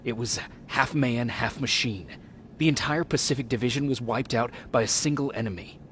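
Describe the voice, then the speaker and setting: Over a faint rumble, an actor plays a serious young-army-private type from a war game. His mid-pitched voice is restrained, earnest and dutiful. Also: moderate pace, a bit bright, natural voice. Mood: grave. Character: earnest, dutiful male resistance soldier. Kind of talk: video game drama acting.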